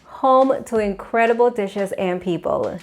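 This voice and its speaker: A woman's voice: mid-range voice, animated, a little slow. Upbeat woman hosting a cooking lesson. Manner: upbeat, instructive, laughs often. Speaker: cooking show host. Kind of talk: cooking demonstration. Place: home kitchen.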